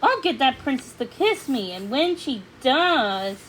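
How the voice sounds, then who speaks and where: A woman voicing a boastful, excitable male storybook frog with haughty, dramatic delivery. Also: high voice, measured pace, a little hiss or hum, exaggerated voice, low-quality recording.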